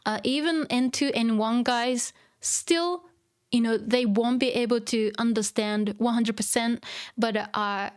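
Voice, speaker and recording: A woman's voice: on the high side, unhurried, smooth. A Japanese woman teaching her native language in English on a casual livestream, chatty and encouraging, with many fillers. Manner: chatty, full of "you know" and "um", encouraging. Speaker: Japanese teacher streaming a manga reading.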